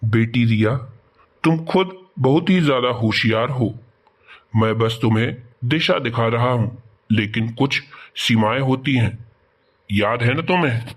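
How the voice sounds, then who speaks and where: A man's voice: medium pitch, measured pace, close to an everyday voice. A man playing a principled schoolteacher, defensive but understanding, in scripted cartoon dialogue. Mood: gentle.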